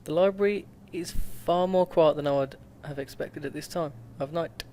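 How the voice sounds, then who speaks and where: A man's voice: on the high side, moderate pace, mellow. A man hosting a let's play, reading a visual novel aloud with stumbles, self-corrections and laughs.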